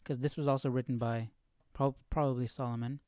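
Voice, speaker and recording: A man hosting a casual Bible study livestream, thinking aloud in an informal, halting way. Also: medium pitch, little pitch movement, dark tone, clear, resonant tone, no room sound. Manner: informal, halting, muses to himself.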